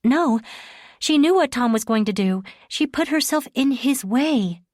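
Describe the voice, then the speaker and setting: A woman's voice: a little high, normal speed, a little mellow, dry recording, studio quality, voice pushed up, close to an everyday voice. A woman reading an audiobook, voicing a distraught young woman who pleads and repeats herself breathlessly. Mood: tearful insistent. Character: frantic distraught young woman, shaken and remorseful.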